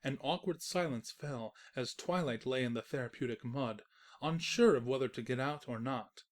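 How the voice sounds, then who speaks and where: A man playing a snarky, defensive invisible narrator of a comic pony story, reading in a wry, expressive storytelling manner. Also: medium pitch, normal speed, faint music behind, lightly acted. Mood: narrating. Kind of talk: scripted narration of comic fan fiction.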